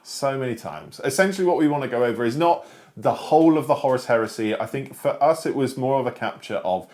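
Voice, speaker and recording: Male co-host, chatty and animated, jokey, mid-pitched voice. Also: fast. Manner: animated, jokey, acts out imagined dialogue. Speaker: show co-host. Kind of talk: casual chat about books.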